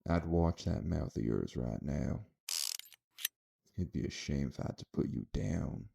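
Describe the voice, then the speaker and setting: A man's voice: rumbling low, a little slow, creaky, warm tone, muffled recording, a little hiss or hum, full character voice. A man playing a cocky, flirtatious, protective gunslinger in an audio roleplay, teasing as he threatens.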